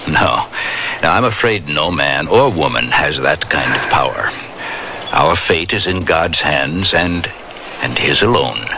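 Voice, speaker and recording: A fatherly reverend, with a very deep and swooping, expressive voice. He is grave, pious and gently preachy, on a noisy old radio drama recording. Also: heavily acted. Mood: solemn, preachy. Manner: measured, pastoral, gently preachy.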